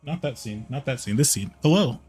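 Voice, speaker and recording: A man chatting casually on a gameplay livestream in a halting way, often repeating his phrases. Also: mid-range voice, lively intonation, a little mellow. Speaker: live streamer, VTuber. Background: game music.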